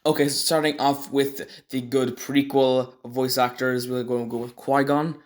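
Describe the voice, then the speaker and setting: A man with a middle-pitched voice talks through his rankings like a casual online host. His delivery is loose and unpolished, opinionated yet hesitant, wandering as he goes. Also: little pitch movement, moderate pace. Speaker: video host ranking game voice actors.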